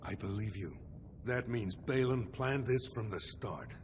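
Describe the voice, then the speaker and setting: Low-voiced, solemn dwarf lord. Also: male voice, lively intonation, a little slow, noisy background. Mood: regretful, resolute. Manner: solemn, formal, authoritative. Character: stern, honourable male dwarf lord passing judgment. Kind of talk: video game cutscene acting. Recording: music under the voice.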